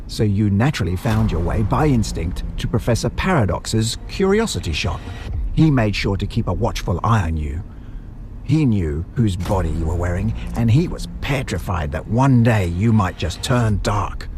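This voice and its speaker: A man's voice: lowish voice, very animated, moderate pace, noisy background. A man playing a maniacal butcher villain in an audio drama, theatrical and condescending in his delivery. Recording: music under the voice.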